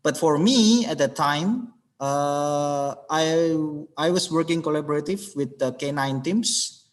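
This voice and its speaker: A man giving a webinar talk as an English trainer, halting and searching for words as he goes over his slides. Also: a little high. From Indonesia. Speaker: alumni guest speaker, English trainer.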